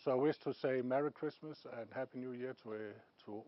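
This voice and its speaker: A man with a Danish accent, a technical advisor speaking haltingly in an interview, with many fillers and restarts. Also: medium pitch, highs cut off. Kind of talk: interview statement.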